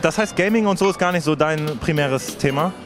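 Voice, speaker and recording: A man works as a street interviewer, firing off casual questions at a quick clip. His voice sits a little high, and music plays behind him. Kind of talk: casual vox-pop interview. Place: large exhibition hall at a gaming festival. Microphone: handheld reporter microphone. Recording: music laid over the voice.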